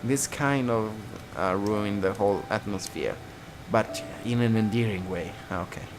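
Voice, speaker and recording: A man's voice: lowish voice, measured pace. A man reading a visual novel aloud as a let's player, narrating dramatically and dropping into casual asides. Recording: guitar music behind.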